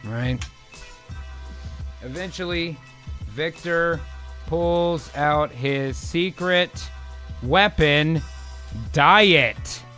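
A man in his thirties, excitable and sweary, hosting casually and reading scripts aloud as a dramatic narrator. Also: on the high side, drawn-out words, pauses often. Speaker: host of an improv movie-writing show. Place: home room, video call. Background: music.

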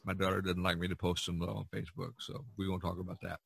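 An older man hosting a podcast, chatting casually in a halting, folksy way. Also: deep voice, completely flat delivery, vocal fry, a bit bright, slightly rough, dry recording, clean studio sound. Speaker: podcast host. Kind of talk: casual chat and podcast sign-off.

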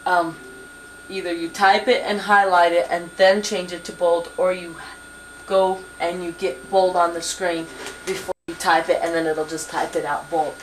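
A young woman patiently teaching word processing at a desk computer, with many pauses and ums, recorded on a 1990s camcorder in a small home office. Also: on the low side, flat delivery, rough recording. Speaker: computer instructor. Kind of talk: hands-on software tutorial. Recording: 1990s camcorder with faint hiss.

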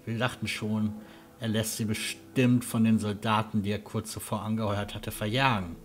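A man playing a courteous, earnest huntsman guide with a halting manner in a fantasy audio drama. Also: lowish voice, level tone, soft background music, close to an everyday voice. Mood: hesitant, uneasy. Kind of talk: German fan audio drama acting.

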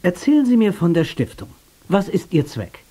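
An actor playing a bold, stubborn action hero in a radio play, speaking informally and insistently. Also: male voice, high voice, big pitch swings, moderate pace, warm tone, husky, heavily acted. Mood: insistent, determined. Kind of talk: dubbed-style radio play acting. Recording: cassette radio play with music underneath.

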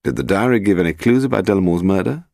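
A man voicing a suave, inquisitive gentleman detective in an audiobook, with a crisp, urbane, unruffled manner. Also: deep voice, fast talker, no room sound, clean studio sound. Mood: intrigued. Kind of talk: audiobook character voice.

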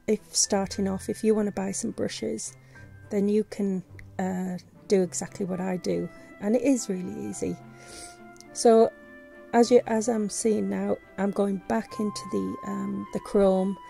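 A woman's voice: mid-range voice, steady tone, a little slow, gravelly, dark tone, soft background music. A woman who is losing her voice, talking casually and hesitantly through a tutorial voice-over, with many fillers and restarts. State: losing her voice, sore throat. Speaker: vlogger. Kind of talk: casual screen-recorded tutorial. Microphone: voice-over on screen recording.

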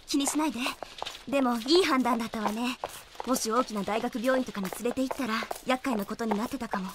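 High-voiced, confident woman doctor played by an actress. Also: quick pace, background noise, lightly acted. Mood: reassuring. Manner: casual, assured, expressive. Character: confident, reassuring female doctor-scientist, brisk and friendly. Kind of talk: Japanese anime audio drama acting.

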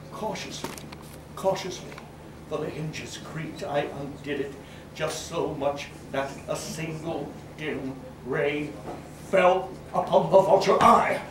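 A man in his sixties acting a mad, cunning, gleeful murderer in a live gothic horror monologue, theatrical, with deliberate pauses and sudden outbursts. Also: fairly high pitch, distant mic, natural voice. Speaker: stage actor. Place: small brick-walled cafe with seated audience. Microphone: distant camera microphone. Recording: roomy, noisy recording from across the room.

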